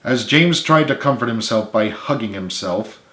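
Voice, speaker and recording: A man's voice: medium pitch, lively intonation, normal speed, fairly bright tone. A man reading his own story aloud as a dramatic audiobook narration. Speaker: author reading own book. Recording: echoey room.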